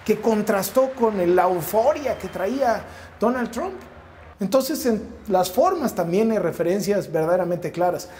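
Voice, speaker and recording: A man's voice: sits high, animated, measured pace, a little mellow, a little hiss or hum. A man in his forties with a Mexican accent, giving emphatic political commentary straight to camera and repeating phrases for effect. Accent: Mexican Spanish. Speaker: news commentator. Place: wood-paneled indoor set. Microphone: lapel mic, framed to camera.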